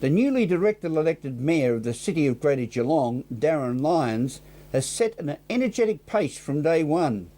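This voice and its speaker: A man's voice: fairly high pitch, sing-song, normal speed, mellow. A man presenting scripted news as the programme's anchor.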